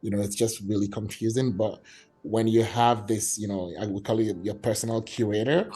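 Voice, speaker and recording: Man, from Nigeria, startup founder, low voice, mellow tone, eager, lots of "you know". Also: steady tone, moderate pace, husky. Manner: enthusiastic, explains with many fillers and examples. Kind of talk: casual pitch in an online meetup.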